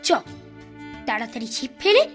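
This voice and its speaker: A woman voicing a mischievous, bossy young village boy in a children's cartoon, with an excitable manner. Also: fairly high pitch, moderate pace, soft background music. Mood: bossy, scheming.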